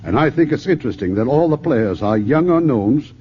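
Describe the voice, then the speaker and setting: A man hosting a 1950s radio theater show with a smooth, announcer-like delivery. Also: medium pitch, expressive, a little fast, slight background noise. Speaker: radio host. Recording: dull old radio broadcast.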